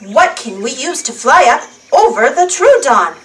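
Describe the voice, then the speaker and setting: Animated high-pitched woman reading a children's story. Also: unhurried, recorded from a distance, a little hiss or hum. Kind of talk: animated read-aloud storytelling for young children.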